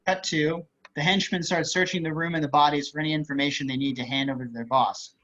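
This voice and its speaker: A man's voice: a little high, steady tone, fast talker, fairly bright tone. A man reading stage directions as scripted narration in a screenplay table read. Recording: slightly dull video-call audio.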